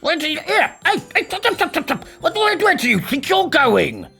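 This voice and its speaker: A man voicing a scatty, childish, excitable male storybook eccentric who stammers and repeats words frantically. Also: very high-pitched, moderate pace, creaky, soft background music, pitched above the performer's own voice, exaggerated voice. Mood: petulant.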